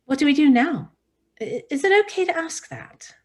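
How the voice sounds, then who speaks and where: A woman's voice: sits high, animated, moderate pace. A woman playing a considerate, practical friend among survivors in a table-read drama. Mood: tentative, caring. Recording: faintly noisy home recording with ambience.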